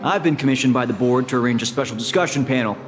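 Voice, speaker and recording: A polite male corporate assistant, played by an actor speaks with formal, measured courtesy in a mid-pitched voice. It is a video game host role, with long hall reverb and music underneath. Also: close to an everyday voice. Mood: courteous, composed.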